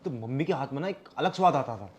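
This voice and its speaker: A man's voice: medium pitch, animated, fast, slight background noise, close to an everyday voice. A young man with an Indian accent acting a stubborn, fault-finding but earnest husband in a domestic drama. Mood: dismissive. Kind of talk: scripted domestic drama acting in Hindi with some English.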